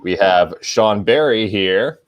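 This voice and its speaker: A man hosting a casual fan podcast, chatting haltingly and often stammering over his words. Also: fairly low pitch, animated, no room sound. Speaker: podcast host.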